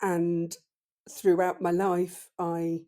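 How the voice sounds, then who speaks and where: A woman in her fifties with a deep voice, a podcast guest talking slowly and thoughtfully in a casual interview. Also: monotone, warm tone. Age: 50s. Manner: slow, thoughtful, reflective.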